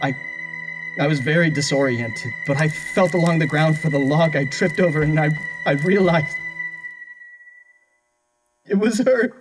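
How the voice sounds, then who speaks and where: Man acting a distraught young husband, high-voiced. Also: close to an everyday voice, low-quality recording. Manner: halting, stammering, pleading. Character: distraught young husband under interrogation for murder. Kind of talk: audio horror drama acting.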